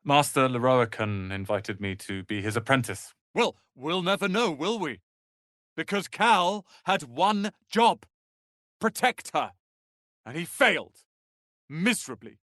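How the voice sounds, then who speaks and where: An actor voicing a proud, prickly young wizard's apprentice in a fantasy video game, haughty, theatrical and sharp-tongued. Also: male voice, a little high, expressive, a little slow, no echo, clean studio sound. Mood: bitter.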